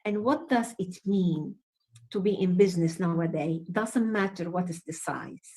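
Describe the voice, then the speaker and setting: A woman from Venezuela, a psychotherapist guest in an interview, talking about her background in a lively, open way. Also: lowish voice, normal speed. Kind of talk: interview conversation.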